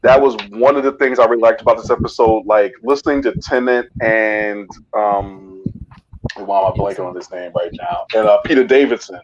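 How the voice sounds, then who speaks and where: A man's voice: fairly low pitch, steady tone, normal speed, slight background noise. Man reviewing on a dull-sounding call. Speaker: podcast guest reviewer. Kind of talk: casual chat.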